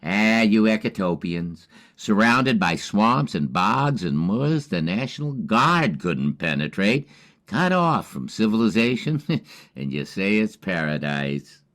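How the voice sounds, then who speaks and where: A man's voice: lowish voice, big pitch swings, slow pace, fairly bright tone, full character voice. A man playing a genial, wry old radio lookout in an audio drama, with a folksy, colorful way of talking. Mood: wry, amused. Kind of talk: amateur audio drama acting.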